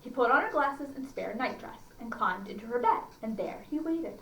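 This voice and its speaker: A woman's voice: on the high side, lively intonation, moderate pace, slight background noise. A woman hosting a children's storytime, reading aloud expressively and adding chatty, questioning asides to her listeners. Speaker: library storytime host. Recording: echoey room, slightly distant mic.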